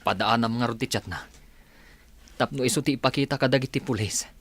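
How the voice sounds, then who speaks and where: Husky, concerned man. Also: mid-range voice, brisk, fairly bright tone, no room sound. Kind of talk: Ilocano radio drama acting.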